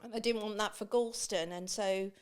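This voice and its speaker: A woman's voice: medium pitch, steady tone, a little fast, smooth. A middle-aged woman with an East Anglian English accent, chatting and telling personal stories, acting out remembered remarks as she goes. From Great Yarmouth, England. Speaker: podcast guest, beach hut business owner.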